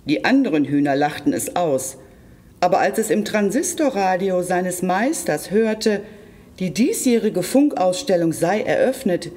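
Children's story reader: mid-pitched, very varied intonation, deliberate and direct to young listeners. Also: darkish tone. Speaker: storyteller reading aloud for a library. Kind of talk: expressive children's story reading.

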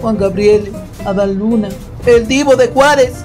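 High-voiced singing diva. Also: male voice, measured pace, darkish tone. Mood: coy. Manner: theatrical, evasive. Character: coy, grand middle-aged male singing diva. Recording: music clearly behind voice.

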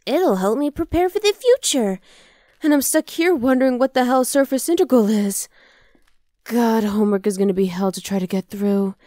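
A woman's voice: fairly high pitch, animated, moderate pace, warm tone, no room sound, close to an everyday voice. Close, dry, teasing half-dragon girlfriend voiced by a woman. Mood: weary, musing. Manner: casual, coaxing, affectionate teasing. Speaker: ASMR roleplay voice actor. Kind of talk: ASMR roleplay acting.

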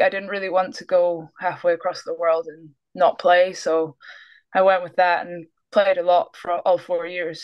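A woman's voice: on the low side, dull audio. Easygoing Scottish woman on a video call. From Scotland. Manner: easygoing, laughs often, trails off. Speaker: guest basketball player. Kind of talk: casual interview. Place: small room indoors.

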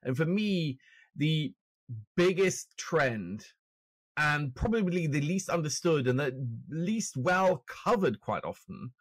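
A man's voice: fairly high pitch, sing-song, slow. A man in his forties from Norway who speaks with a British accent, chatting as a guest on a video-call podcast, self-deprecating and full of restarts. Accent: British English. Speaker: guest author and finance journalist. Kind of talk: conversational interview. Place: home room with shelves, indoors. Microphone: podcast microphone on stand, video call.

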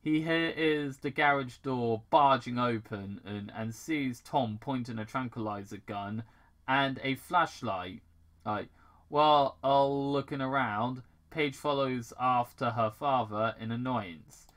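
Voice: mid-range voice, a bit bright. A British amateur reader reading fan fiction aloud, stumbling over words and breaking off to ad-lib. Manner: halting, stumbling over words and correcting themselves. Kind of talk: amateur read-aloud with ad-libs.

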